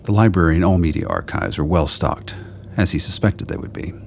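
A man with a very deep, gravelly voice reading scripted narration for a radio play. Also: brisk, slight background noise.

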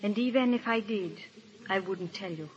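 A woman's voice: medium pitch, normal speed, natural voice. An actress playing a proud, anxious aristocratic lady in a 1930s radio drama, formal and earnest in a theatrical way. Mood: defiant. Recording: dull, noisy 1930s radio recording.

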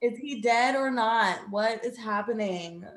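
An echoey, fairly high voice a woman acting it out, flustered and indignant. She speaks with emphasis in improvised comedy. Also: unhurried, a little hiss or hum, natural voice. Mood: alarmed disbelief. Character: flustered, indignant partner and co-spy. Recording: echoey room.

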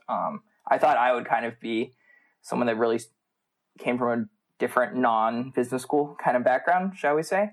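A man with an American accent, a student guest answering interview questions in a casual, conversational style. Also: medium pitch. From United States. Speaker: MBA student, podcast guest.

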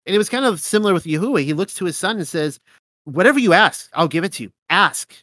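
A man's voice: sits high, lively intonation, quick pace, pushed and bright, no room sound. A man with a cold and a slightly nasal voice, reading scripture aloud and then commenting casually with fillers. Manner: reads verses steadily, then comments loosely with fillers. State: has a cold, sounds nasal. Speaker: host of a scripture reading show.

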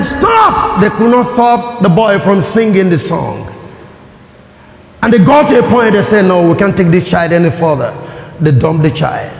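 A man with a Nigerian accent delivers a sermon in a large hall. His voice sits high, and he preaches with animated energy, calling out for responses. The sound carries a long, roomy reverb. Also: creaky. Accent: Nigerian English. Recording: echoey hall, dull lo-fi sound.

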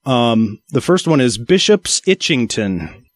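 A man's voice: mid-range voice, big pitch swings, slow pace, no room sound, studio quality. A man with an American accent hosting a casual panel discussion on a podcast. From United States. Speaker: podcast host.

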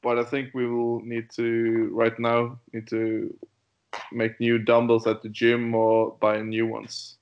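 Slow, halting man from Denmark. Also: mid-range voice, monotone, muffled recording. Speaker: guest powerlifter. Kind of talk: casual interview chat.

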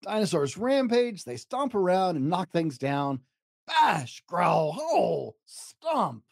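A man's voice: high voice, big pitch swings, slow pace, gravelly, dry recording, clean studio sound, voice pushed up, exaggerated voice. A man voicing a defiant little boy who pretends to be a growling dinosaur in a children's storybook. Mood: boisterous defiance. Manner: boisterous, growling and roaring.

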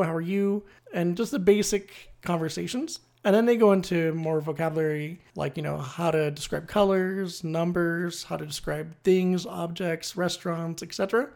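Creaky-voiced casual solo host. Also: medium pitch, darkish tone. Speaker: host of a language-learning series. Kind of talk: casual solo explainer talk.

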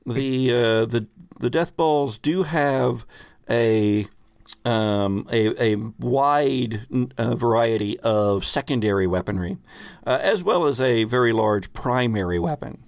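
A man's voice: mid-range voice, slow, no room sound. A man hosting as game master, narrating a tabletop game casually with a playful, hesitant delivery.

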